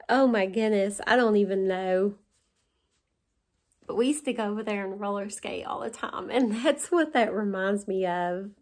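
A woman in her forties from West Virginia with a Southern accent, chatting and gushing over items she bought, laughing often, filmed in her living room. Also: mid-range voice. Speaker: haul vlogger. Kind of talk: casual show-and-tell haul. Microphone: camera in front at close range.

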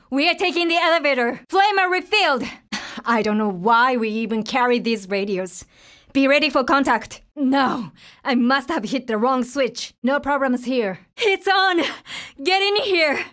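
A woman's voice: high-pitched, animated, a little slow, a bit bright. A woman playing a tough, determined young survivor of a zombie apocalypse in a video game, speaking in terse, urgent, businesslike lines. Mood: focused, businesslike. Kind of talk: video game voice lines, short dramatic callouts.

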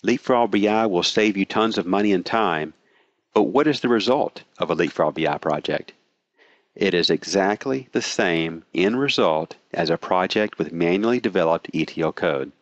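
A man reading a scripted promotional voice-over in a steady, even sales delivery. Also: deep voice, moderate pace, no echo. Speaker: product narrator. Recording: dry, slightly dull voice-over.

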